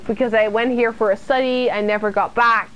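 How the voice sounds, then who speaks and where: A woman's voice: a little high, fairly even intonation, fairly quick, fairly bright tone, muffled recording, a little hiss or hum. A young woman from the UK, casually chatting with her viewers while streaming a horror game and reading their comments aloud. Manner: chatty and self-deprecating, reads chat aloud and answers it. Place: small room indoors.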